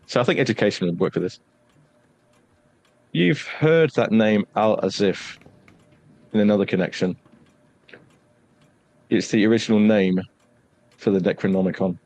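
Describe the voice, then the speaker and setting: A man narrating a tabletop horror game as game master, deliberate and pausing for suspense. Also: lowish voice, a little mellow.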